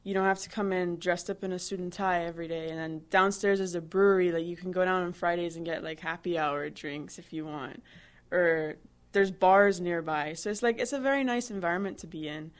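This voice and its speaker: Young woman from barbados, lower voice, chatty w lots of "like"s. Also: fairly quick. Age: 20s. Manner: chatty, many "like" fillers and restarts. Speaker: interviewee, new employee. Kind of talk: casual interview answers.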